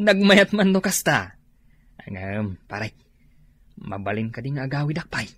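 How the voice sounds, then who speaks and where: A groggy, hungover Ilocano drinking pal in a radio comedy. The voice is husky and lively in pitch, whining and hesitating with drawn-out groans. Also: male voice, no echo. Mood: sheepish. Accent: Ilocano, northern Philippines. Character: groggy, hungover male drinking buddy, easily coaxed. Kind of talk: comedy radio drama acting.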